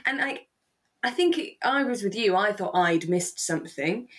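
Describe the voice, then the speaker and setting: A woman co-hosting a relaxed discussion podcast, chatty and given to acting out quotes as she talks. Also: mid-range voice, normal speed. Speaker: podcast co-host. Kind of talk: relaxed discussion of a radio drama.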